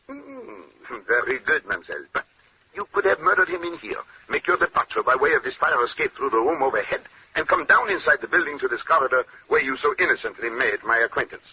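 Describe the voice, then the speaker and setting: An actor playing a fussy, shrewd Belgian detective with a French-Belgian accent, precise, courteous and theatrical, in 1940s radio style. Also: male voice, mid-range voice, big pitch swings, fairly quick, natural voice. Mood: composed, confident. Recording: muffled, noisy old-time radio recording.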